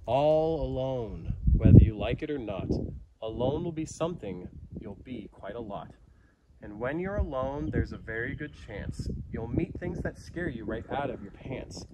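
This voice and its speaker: A man reading a rhyming children's picture book aloud with theatrical, dramatic emphasis, like a naturalist storyteller. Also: mid-range voice. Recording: outdoor recording with background ambience noise.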